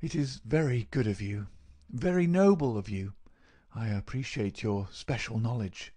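A man voicing an ailing male detective in an audiobook, feeble but lucid, crisp and masterful. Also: medium pitch, a little slow, mellow, natural voice. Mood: feeble, pleading. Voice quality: feeble, slightly raised. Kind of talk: audiobook character voice.

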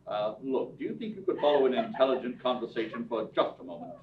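A man plays a sardonic homeless gentleman in an old-style radio drama, articulate and dry. His delivery moves freely up and down. The recording is distant and dull, made in an echoing theatre. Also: medium pitch, quick pace, natural voice. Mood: dry, curious. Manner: deadpan, formal, articulate. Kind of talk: staged 1930s-style radio play reading. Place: theatre stage with audience, far camera. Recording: roomy dull stage recording from afar, noisy.

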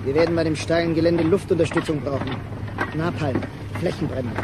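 A man's voice: a little high, steady tone, brisk, very noisy, natural voice. An actor playing a clipped, commanding male military officer in a radio play. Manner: clipped, authoritative. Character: brusque, commanding military first lieutenant. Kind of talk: German radio play acting.

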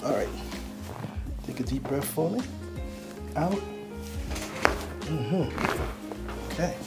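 A man's voice: fairly high pitch, animated, very slow, loud music behind the voice. A middle-aged man talking casually and reassuringly as a chiropractor while he treats a patient in a small room. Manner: reassuring, checking in often.

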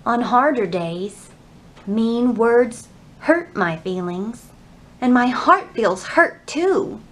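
A woman in her thirties presenting a children's storytime, with expressive, carefully phrased delivery meant for small children. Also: on the high side, takes it slowly, echoey room, slight background noise. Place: indoor library room.

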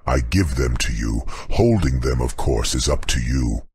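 A man voicing an imperious, vengeful demon king in a very deep, booming, slow and pompous audiobook performance. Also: expressive, a little mellow, husky, exaggerated voice. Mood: solemn, grateful. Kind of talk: audiobook character acting.

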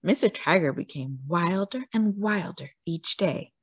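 A woman's voice: fairly low pitch, animated, measured pace, breathy edge. A woman reading a children's story aloud expressively, stretching words out for theatrical emphasis.

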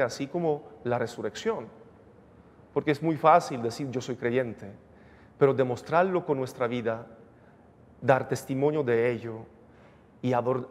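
A man in his forties, a priest giving a measured, earnest sermon at a lectern microphone in a chapel. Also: medium pitch, darkish tone. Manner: measured, earnest preaching with rising emphasis. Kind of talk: sermon at Mass. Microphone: gooseneck lectern microphone. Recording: reverberant chapel sound.

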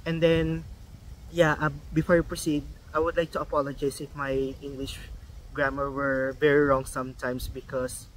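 A man's voice: mid-range voice, flat delivery, normal speed, a little hiss or hum, poor audio. A young Filipino man with a Filipino accent and Filipino as his first language, vlogging casually, with many ums and restarts. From Philippines. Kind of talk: casual vlog talk.

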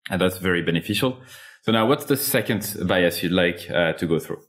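A man's voice: low-pitched, animated, brisk. A man with a French accent, a native French speaker, hosting a podcast interview in a conversational way. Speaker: podcast host.

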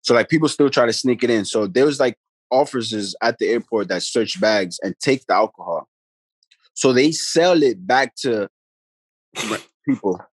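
A man, a guest telling stories in a relaxed, rambling way on a video call from his phone at home. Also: mid-range voice, moderate pace, breathy edge, no echo. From the Bronx, New York. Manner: laid-back, rambling, many "you know what I mean" tags. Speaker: guest, pro basketball player. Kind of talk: casual storytelling chat. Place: room at home, indoors. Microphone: phone camera held close on a video call.

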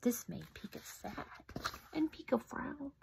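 A woman in her fifties reading a picture book aloud at home into a phone camera, animated, with whispered asides. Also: sits high, unhurried, gravelly, a little hiss or hum. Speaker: storybook reader. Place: home room indoors. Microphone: phone camera close up.

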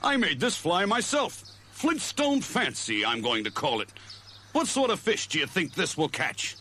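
A man voicing a loud, boastful, pompous grown man in a storybook reading. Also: high-pitched, wildly swooping pitch, unhurried, a little hiss or hum, pitched above the performer's own voice, heavily acted. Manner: blustery and self-important.

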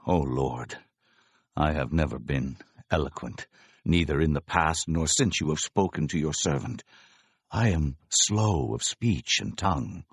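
A man's voice: a bass voice, a little slow, slightly rough, dry recording, heavily acted. An actor playing a humble, doubtful male prophet, hesitant and pleading, in a dramatized scripture reading.

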